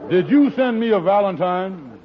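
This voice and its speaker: A man's voice: high voice, lively intonation, normal speed, a faint crowd, a little hiss or hum. A man acting an indignant, blustering husband in a golden age radio sitcom, with folksy, ungrammatical speech. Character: Kingfish, indignant, blustering husband turned amateur sleuth. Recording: muffled vintage radio recording.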